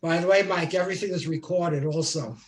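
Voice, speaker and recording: A man hosting an online song circle, chatting casually and haltingly as he organizes people over a computer mic. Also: on the high side. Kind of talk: casual chat on a group video call. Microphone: computer mic on a video call.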